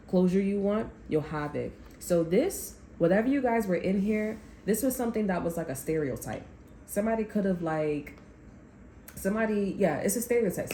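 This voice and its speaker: A woman with a low, mellow voice gives a tarot reading in a casual, rambling way. She is blunt and swears freely when heated. Her voice bounces around an echoey room over a faint hiss. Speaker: tarot reader.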